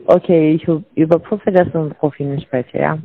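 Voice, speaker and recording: A woman's voice: deep voice, fairly even intonation, a little fast. Scam caller woman on tinny phone line. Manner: broken German, repeats scripted lines.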